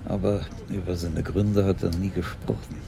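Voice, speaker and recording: An actor playing a gentle older police commissioner and tender husband in a radio crime drama, speaking in a measured, reassuring way. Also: male voice, deep voice, fairly even intonation, creaky, noisy background. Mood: reflective. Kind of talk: German radio play acting, intimate dialogue.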